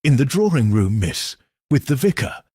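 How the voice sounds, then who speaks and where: Casting: male reader as uneasy female servant, deferential, hesitant, highly varied pitch. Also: big pitch swings, normal speed, dark tone, breathy edge, dry recording, clean studio sound. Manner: hesitant, respectful.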